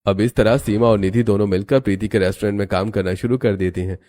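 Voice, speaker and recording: A man's voice: lowish voice, steady tone, quick pace, warm tone. A man narrating a scripted cartoon family story as its storyteller.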